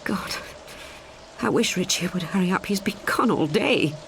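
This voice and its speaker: A woman's voice: lowish voice, expressive, normal speed, noisy background, voice pushed up. A woman voicing a frightened, jumpy woman in an audiobook, stammering and trembling on the edge of panic. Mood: anxious.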